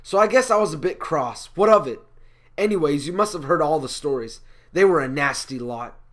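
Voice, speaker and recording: A man's voice: fairly high pitch, expressive, brisk, close to an everyday voice. A man performing a comic stage monologue as an indignant, self-justifying prophet, with emphatic, declamatory delivery. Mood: defensive. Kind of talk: rehearsed comic speech monologue.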